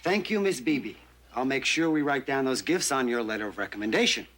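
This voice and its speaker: An actor plays a brusque restaurant manager, curt and dismissive with his staff. His voice is a mid-range man's voice. It comes from an old TV soundtrack with clear noise and clicks in the background. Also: medium pitch, lively intonation, a little fast, a bit bright, close to an everyday voice. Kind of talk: sitcom acting.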